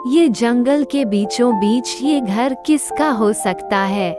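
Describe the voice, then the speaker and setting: Woman voicing a slow-talking curious crow wife. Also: a little high. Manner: slow, questioning. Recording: music underneath.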